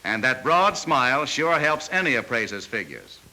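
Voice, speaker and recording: Chummy old sales film narrator, wry asides, very bright punchy voice, pitch bouncing around. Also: male voice, very animated, normal speed, faint music behind, poor audio. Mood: instructive. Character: knowing, folksy male narrator coaching car salesmen. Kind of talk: mid-century training film narration.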